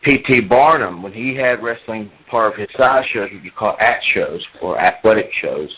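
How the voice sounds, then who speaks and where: A man's voice: lowish voice, lively intonation, normal speed, slightly rough, muffled recording. A man in his forties, a professional wrestler, talking at length in an interview, repeating himself as he tells his story. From United States. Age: 40s.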